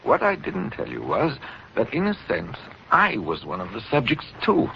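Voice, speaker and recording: Cold megalomaniac doctor, clinical and pompous, lively intonation, 1940s radio drama, muffled recording. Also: male voice, medium pitch, moderate pace, vocal fry, a little hiss or hum, exaggerated voice. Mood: smug, clinical. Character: coldly calculating male doctor, megalomaniac villain experimenting with fear.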